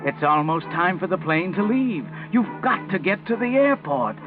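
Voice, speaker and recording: An actor playing a taunting, urgent narrator in a 1940s radio crime mystery. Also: male voice, sits high, very animated, normal speed. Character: ominous, taunting male narrator of a crime tale. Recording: tinny 1940s radio recording, music behind.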